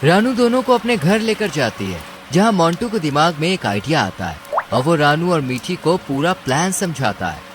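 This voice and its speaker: A man's voice: fairly high pitch, sing-song, normal speed, slight background noise. A man narrating a scripted cartoon story as its storyteller.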